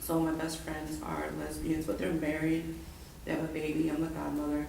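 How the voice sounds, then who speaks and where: A woman talks casually and hesitantly about herself from the floor of a class. Her voice is low and gravelly with fry. She is heard from a distance in a very echoey room with clear noise. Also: monotone, mellow. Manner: hesitant, halting. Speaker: lecture participant. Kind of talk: casual personal sharing.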